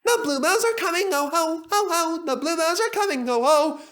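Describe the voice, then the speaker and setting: Squeaky, excitable little engine. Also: male voice, moderate pace. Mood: excited. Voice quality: squeaky, high-pitched. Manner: eager, chattering, emphatic. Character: excitable, earnest young male tank engine. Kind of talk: animated children's story character voice.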